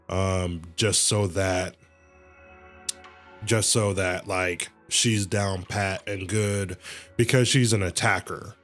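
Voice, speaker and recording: Slow, low-voiced man livestreaming. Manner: rambling, repeats himself. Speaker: game livestreamer. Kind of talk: casual livestream commentary. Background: faint game music.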